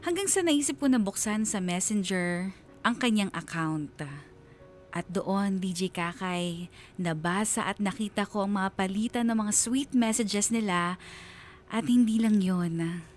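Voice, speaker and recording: A woman's voice: medium pitch, unhurried, soft background music, lightly acted. A woman acting a betrayed, sorrowful Filipino husband who narrates a radio drama in an earnest, confessional way. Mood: sorrowful, confiding. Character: sorrowful betrayed husband confiding his story.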